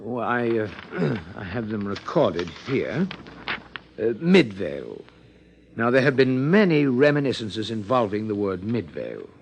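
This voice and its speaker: An actor playing an earnest, hesitant psychiatrist in a 1950s radio drama, with formal, halting phrasing. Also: male voice, lowish voice, very animated, a little hiss or hum. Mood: earnest, absorbed.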